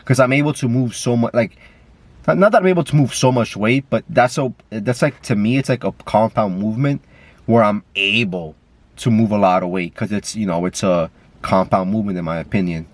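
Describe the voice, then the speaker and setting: A man's voice: on the low side, fairly quick, no room sound. A man with a stuffy nose, talking in a casual, rambling way with lots of fillers and swearing, filmed on a camera while driving. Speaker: vlogger. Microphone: camera mic.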